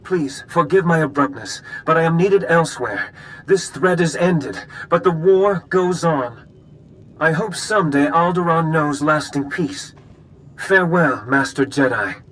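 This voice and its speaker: An actor playing a courteous, dutiful noble house envoy in a video game, formal and polite. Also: male voice, a little high, animated, a little slow, a bit bright, poor audio. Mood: courteous, solemn.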